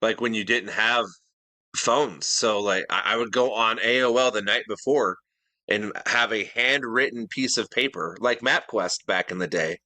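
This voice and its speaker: A man's voice: on the low side, normal speed, bright, forceful tone, no room sound, rough recording. A middle-aged man co-hosting a casual podcast chat, earnest and detail-minded, often restarting his sentences. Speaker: podcast co-host.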